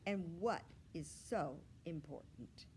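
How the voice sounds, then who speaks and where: Woman doing a patient lady teacher from a kids book, asks the class questions, slowish, slightly noisy recording. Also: deep voice, dark tone. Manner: instructive, questioning classroom address. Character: patient, pleasant female primary school teacher.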